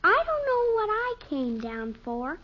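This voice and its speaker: A woman's voice: extremely high pitch, wildly swooping pitch, measured pace, exaggerated voice. A female performer playing a perky, pouty little girl, the youngest daughter in a 1950s radio sitcom, whiny and childlike. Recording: dull, noisy 1950s radio recording.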